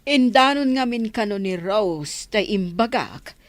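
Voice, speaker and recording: A woman's voice: medium pitch, animated, measured pace, lightly acted. A woman acting a stern, indignant older mother in an Ilocano radio drama. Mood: grave.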